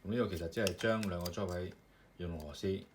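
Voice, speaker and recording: Deep-voiced man demonstrating an assembly. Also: fast talker. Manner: loose, step-by-step explaining with many fillers. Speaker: maker demonstrating his product. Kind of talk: casual hands-on assembly demonstration. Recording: clear background noise with clicking parts.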